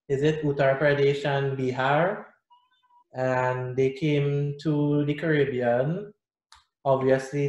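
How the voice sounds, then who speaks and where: A middle-aged man with a Trinidadian accent, talking casually and animatedly as a guest on a video call. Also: medium pitch. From Trinidad and Tobago. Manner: animated, gestures with hands while explaining. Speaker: guest musician. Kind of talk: casual interview talk. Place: small room indoors. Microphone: video call webcam. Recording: echoey room on video call.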